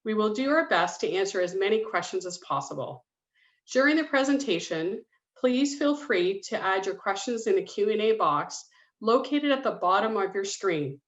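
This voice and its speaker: A woman's voice: medium pitch, animated, normal speed. A woman hosting a webinar, reading a businesslike scripted introduction on a video call. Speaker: public education coordinator and webinar host. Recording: dull roomy video-call audio.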